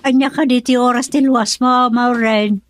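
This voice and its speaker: An actress as a scolding older mother-in-law in an Ilocano radio drama, Filipino accent. She is defensive and melodramatic, with a highish voice. The recording is dry, studio-close. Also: fairly even intonation, normal speed, no room sound, lightly acted. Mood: brisk.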